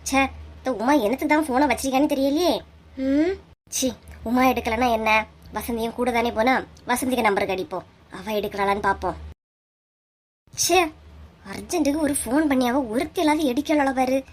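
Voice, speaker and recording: A woman's voice: high-pitched, a little fast, long pauses. Woman playing jealous gossip, Kanyakumari Tamil accent, comic cartoon, scornful muttering, sly, scheming. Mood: irritated, scheming.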